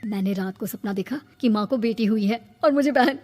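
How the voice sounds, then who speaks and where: A woman's voice: fairly high pitch, a little fast, darkish tone, lightly acted. A woman voicing an eager, doting, naive young husband who chats enthusiastically in a family comedy story. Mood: excited. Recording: long reverb, music behind.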